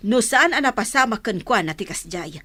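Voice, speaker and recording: A woman's voice: on the high side, expressive, normal speed, bright, forceful tone, dry recording. Concerned grandmother. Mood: worried. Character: concerned village mother and grandmother.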